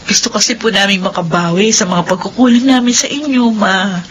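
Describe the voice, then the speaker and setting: An actress playing a devoted, emotional young eldest daughter in a radio drama, speaking earnestly. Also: female voice, medium pitch, fairly quick, noisy background, lightly acted. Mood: caring.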